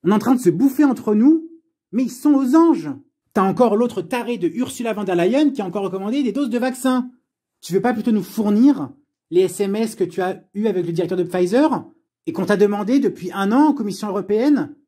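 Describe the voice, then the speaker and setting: A man's voice: sits high, animated, fairly quick, warm tone. A man from France delivering an unscripted personal rant, blunt, sarcastic and exasperated, with plenty of swearing.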